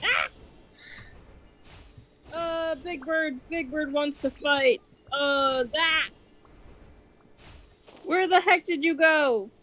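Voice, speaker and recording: A woman's voice: high-pitched, drawn-out words, long pauses, pushed and bright. A young woman streaming a game, chatting casually and exclaiming readily as she reacts to play. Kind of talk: casual co-op gameplay chat.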